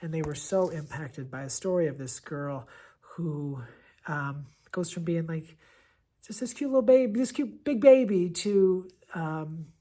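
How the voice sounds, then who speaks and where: A man's voice: on the high side, mellow, breathy edge. An American man, a schoolteacher, recommending books in a casual vlog with an enthusiastic, gushing and emphatic manner. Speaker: elementary school teacher and book reviewer.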